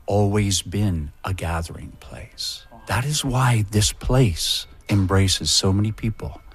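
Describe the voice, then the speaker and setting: Slow, husky Mohawk man. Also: lowish voice. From Mohawk of the Iroquois. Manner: slow, measured, solemn delivery. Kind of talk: solemn spoken reflection. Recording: soft music behind the voice.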